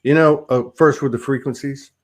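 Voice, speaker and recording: A man's voice: medium pitch, fairly even intonation, moderate pace. A man hosting a spiritual conversation, musing aloud in a rambling way with many repeats. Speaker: host.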